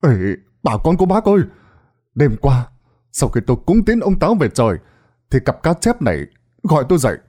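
A man voicing an excitable young village man, talking in a shrill, pleading, frantic way. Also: fairly quick. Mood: proclaiming.

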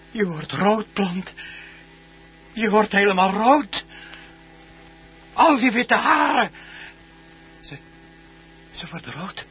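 An actor playing a deranged, murderous husband obsessed with his plant in a radio drama, halting and repeating himself. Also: high voice, sharp tone, full character voice. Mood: ecstatic mania. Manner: halting, muttering, repeating words, manic laughter. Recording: dull, noisy old radio recording.

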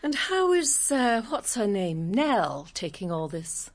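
An actress playing a worldly, frank bohemian singer in a radio drama, with a British accent and a wry, direct manner. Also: female voice, mid-range voice, very animated, takes it slowly. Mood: wry, teasing.

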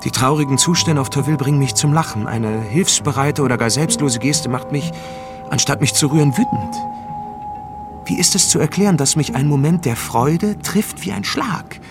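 Radio drama lead: male traveller played by an actor, inward, disillusioned, gravelly fry, heavy music underneath. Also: a little high, moderate pace, natural voice. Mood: bitter. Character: disillusioned, introspective male traveller on a bleak world. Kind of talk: radio drama inner monologue and dialogue. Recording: loud music bed behind voice.

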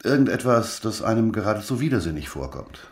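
A laconic police detective in a radio crime drama. His voice is low and set in an echoey room, and he speaks deliberately, as if questioning a witness. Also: sing-song. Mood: probing, matter-of-fact. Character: probing, laconic male Hamburg police detective.

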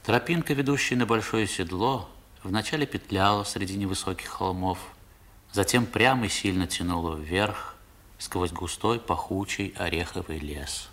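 A man acting as the narrator of a 1960s radio drama, recalling his boyhood in measured, expressive literary prose. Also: low-pitched. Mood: reflective. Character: grown male narrator recalling his seaside boyhood adventure.

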